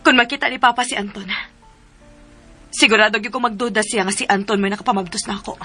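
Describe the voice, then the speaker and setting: A woman's voice: mid-range voice, fairly even intonation, fast talker, loud music behind the voice, lightly acted. A Filipino woman in a radio drama playing an earnest, deferential and fearful young woman. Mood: deferential, worried. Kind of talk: Philippine radio drama acting.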